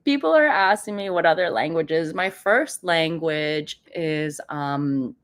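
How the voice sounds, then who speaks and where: A woman whose first language is Persian, hosting a livestream in a chatty, animated way, reading viewer comments and laughing often. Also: low-pitched, slow. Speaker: livestream host.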